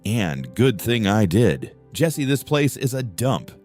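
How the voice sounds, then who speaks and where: A man's voice: on the low side, very animated, slow, a little mellow, faint music behind, voice pushed up. A man doing a pushy young college jock. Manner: blunt, brushes off objections. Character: pushy, energetic young male college athlete.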